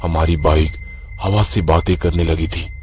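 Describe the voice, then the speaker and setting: A man's voice: very deep voice, fairly even intonation, measured pace, a little mellow, a little hiss or hum. A man from Maharashtra, India, reading a scripted first-person horror story as its narrator.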